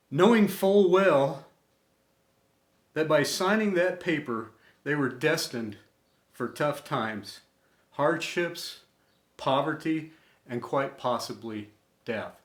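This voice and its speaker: A man reading a scripted inspirational tribute in an earnest, deliberate manner, pausing between short phrases. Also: fairly high pitch. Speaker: storyteller and host of an inspirational series. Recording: echoey room.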